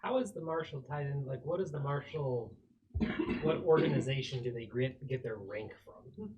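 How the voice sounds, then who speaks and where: A man with a middle-pitched voice chats casually as a player at a gaming session. The recording is dull, with clear noise and clicking behind him. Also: normal speed, darkish tone. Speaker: player in a tabletop game. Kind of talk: casual chat.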